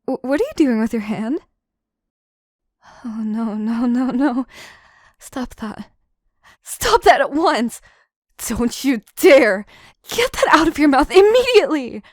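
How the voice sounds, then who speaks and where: Woman acting slow-spoken, obsessive yandere woman, high voice. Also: sing-song, dry recording, clean studio sound, heavily acted. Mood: alarmed, furious. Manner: theatrical, formal phrasing, slow and deliberate, dropping into whispers. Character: obsessive, possessive yandere assistant woman, scheming and menacing. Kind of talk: scripted audio roleplay, intimate monologue to listener.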